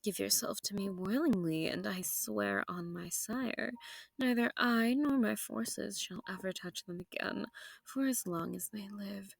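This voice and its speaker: A woman playing a centuries-old, sadistic and possessive female vampire in an intimate audio roleplay, silky and taunting, slipping into breathy whispers. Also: mid-range voice, unhurried. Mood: coaxing, seductive. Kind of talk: intimate scripted audio roleplay addressed to the listener.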